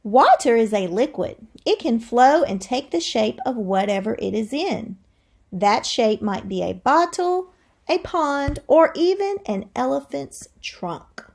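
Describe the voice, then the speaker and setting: Woman, teacher, high-pitched, very lively intonation, read-aloud lesson for young children, deliberate, questioning. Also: gravelly. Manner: deliberate, expressive, thinking aloud.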